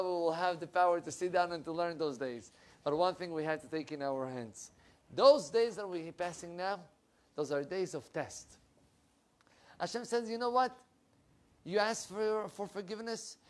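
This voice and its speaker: A young man, a rabbi giving an animated Torah class, his voice swinging between loud bursts and low asides. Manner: animated, with big swings in pitch and loudness and lively hand gestures. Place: wood-panelled room with bookshelves. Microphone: lapel.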